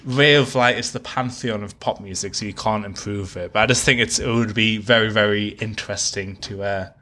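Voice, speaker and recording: A man's voice: fairly low pitch, steady tone, fairly quick, bright, forceful tone. A man co-hosting a casual music discussion, talking in an effusive, analytical and wordy way. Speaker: podcast co-host.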